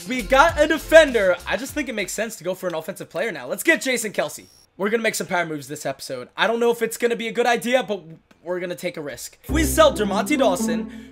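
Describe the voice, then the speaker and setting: A young man in his twenties, hoarse from losing his voice, giving excitable casual commentary while opening packs in a video game. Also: sits high. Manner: excitable, hyped outbursts. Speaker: gaming YouTuber. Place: small room at a desk. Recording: music playing behind the voice.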